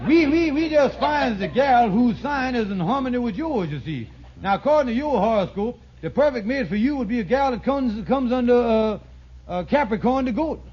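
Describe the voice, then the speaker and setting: Scheming old-time radio sitcom hustler, high voice, wheedling sales talk, hissy old recording. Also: male voice, expressive, normal speed. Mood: smooth patter. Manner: wheedling sales patter, grandiose malapropisms. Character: scheming, fast-talking middle-aged male lodge schemer and hustler. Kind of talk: 1940s-50s radio sitcom acting. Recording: noisy, muffled vintage radio recording.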